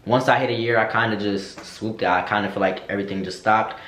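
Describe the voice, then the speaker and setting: A man's voice: fairly low pitch, fairly even intonation, fast, fairly bright tone. Sassy young man in an echoey room. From United States. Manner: sassy, candid, slangy, addresses viewers as girl. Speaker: vlogger. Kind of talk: casual Q&A chat to camera.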